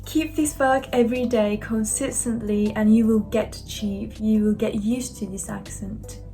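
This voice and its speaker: A woman in her twenties from Japan, a native Japanese speaker with a Japanese accent, presenting a scripted explainer as a language vlogger in simple, deliberate, slightly non-native phrasing. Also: fairly high pitch, fairly even intonation, warm tone, soft background music. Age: 20s.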